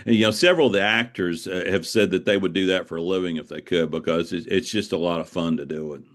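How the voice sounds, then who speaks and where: Middle aged guy with a really low voice, chatting in an interview, kinda halting and repeating himself. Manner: halting, repeats words as he explains. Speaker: guest, author. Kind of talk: casual interview conversation.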